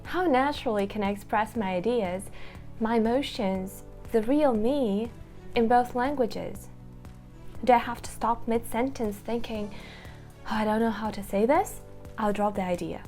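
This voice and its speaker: A woman's voice: fairly high pitch, a little slow, a little mellow, soft background music. Earnest woman teacher. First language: Russian. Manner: earnest, reflective, addresses viewer directly. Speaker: English teacher, course founder. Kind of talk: scripted promotional talk to camera.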